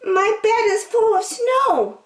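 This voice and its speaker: Woman voicing a high, plaintive little squirrel, roomy sound. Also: very animated, slow pace, exaggerated voice. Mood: miserable, plaintive. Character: small shivering squirrel, miserable and indignant. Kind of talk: children's storybook character voice.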